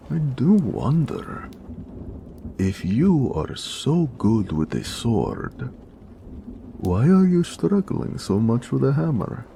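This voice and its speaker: A big orc blacksmith acted by a man speaks in a low, gravelly for a romantic audio roleplay. He teases and dotes on his lover playfully, with very lively swings of pitch. Some ambient noise sits behind the voice. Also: exaggerated voice. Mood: playful teasing. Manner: playful, flirtatious, frequent chuckling.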